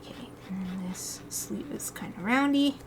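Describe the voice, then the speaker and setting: A woman's voice: medium pitch, moderate pace. A chatty woman from the States talks through a live drawing session, giggling often and thinking aloud. Her voice sits mid-range. A faint hum of room noise runs under the desk mic. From United States. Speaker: artist streaming live drawing. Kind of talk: casual chat while drawing live.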